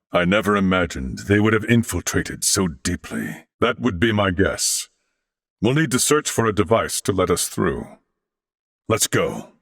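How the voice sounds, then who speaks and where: A man voicing a courteous older alien warrior-scholar in a video game, speaking formally and eloquently with measured diction. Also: fairly low pitch, fairly bright tone, no room sound, clean studio sound, heavily acted. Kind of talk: video game character voice lines.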